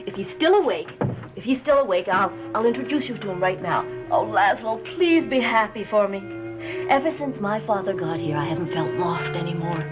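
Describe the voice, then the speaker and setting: An actress playing an anxious, eager wife and devoted daughter in a gothic soap, speaking emotionally and haltingly. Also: female voice, medium pitch, lively intonation, close to an everyday voice. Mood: pleading. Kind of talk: 1960s-70s gothic TV soap acting. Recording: old TV soundtrack with music underneath.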